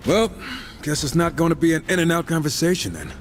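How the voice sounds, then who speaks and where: A man's voice: a little high, big pitch swings, brisk, a little hiss or hum. An actor playing a cocky, wisecracking supernatural horseman in a video game, sarcastic and casual. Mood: wry. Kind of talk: video game cutscene acting.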